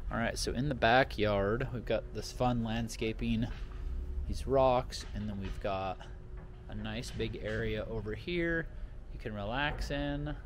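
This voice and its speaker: A man's voice: on the low side, unhurried. Casual American man on noisy handheld camera. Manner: informal, enthusiastic, slangy. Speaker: real estate vlogger giving a home tour. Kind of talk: casual walk-through tour commentary. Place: walking through a model home and its yard. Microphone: handheld camera at arm's length.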